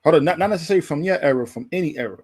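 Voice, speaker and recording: A man's voice: on the high side, expressive, rapid-fire, slightly rough. A man in a casual sports debate, slangy and often repeating himself. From Brooklyn, New York. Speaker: boxing show panelist.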